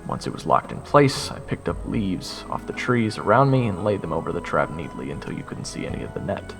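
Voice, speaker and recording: A young man narrates an audio drama in the first person with a sardonic, expressive delivery. His voice is gravelly and lower than average, with a lively swing in pitch. Soft music plays underneath. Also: fairly quick, in a large hall. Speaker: narrator and lead voice actor.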